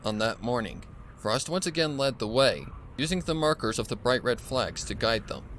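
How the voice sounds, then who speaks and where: A man's voice: mid-range voice, animated, normal speed, a bit bright, a little hiss or hum. A man reading a scripted horror story as a dramatic narrator, with music behind him. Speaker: fan fiction narrator. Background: background music.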